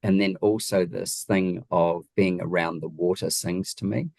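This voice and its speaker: A man with a low-pitched voice and a New Zealand accent speaks as an interview guest, recorded dry and close. He explains things conversationally and leans on key points with emphasis and rhetorical questions. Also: steady tone, a little slow, creaky, darkish tone, dry recording. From Wellington region, New Zealand. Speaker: guest, home designer.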